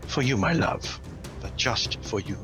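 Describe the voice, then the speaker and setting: A man voicing a huge, suspicious warlord chieftain who snarls and growls out slow, deliberate threats in a tabletop game. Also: mid-range voice, animated, a bit bright, soft background music. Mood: quelled.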